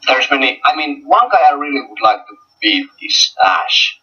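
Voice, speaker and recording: A young man from Finland with a Finnish accent, a guest coach talking in a casual online interview, halting with many fillers and restarts. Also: medium pitch, sing-song, breathy edge, distant mic, muffled recording.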